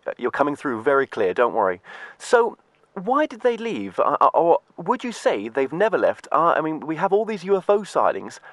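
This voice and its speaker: Lively-voiced male radio interviewer. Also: a little high, normal speed, no echo. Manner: questioning, casual with fillers and restarts. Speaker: interviewer host.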